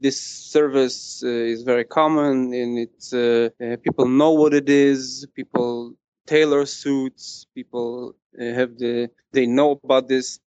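A middle-aged Israeli man, a business founder, answering interview questions in English with frequent pauses and fillers. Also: medium pitch, fairly even intonation, a little mellow, smooth, no room sound, lo-fi sound. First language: Hebrew. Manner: halting, many uh fillers and restarts.

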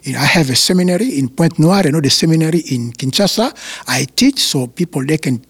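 A man from Congo with a French-speaking Congolese accent, a pastor who talks in halting English with many fillers. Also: fairly high pitch, expressive, fairly bright tone, slightly rough. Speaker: pastor and seminary teacher. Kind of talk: interview answers.

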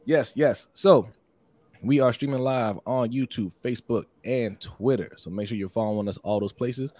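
A hoarse man hosting a casual live-streamed sports podcast, upbeat and repetitive, reading out viewer comments and giving shout-outs. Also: mid-range voice, moderate pace, dry recording. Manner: upbeat, repeats phrases, many shout-outs. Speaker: podcast host.

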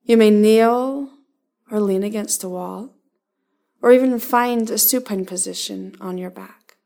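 Woman, medium pitch, slow delivery, calm meditation guide, gentle instruction, short spaced phrases. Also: creaky. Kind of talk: guided body-scan meditation.

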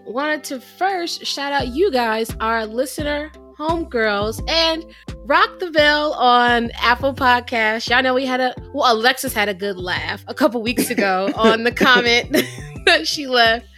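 A woman's voice: on the high side, lively intonation, unhurried, pushed and bright, faint music behind. A woman co-hosting a podcast in casual, playful chat, laughing easily. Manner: playful, laughs often, sings jokey intros. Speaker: podcast co-host.

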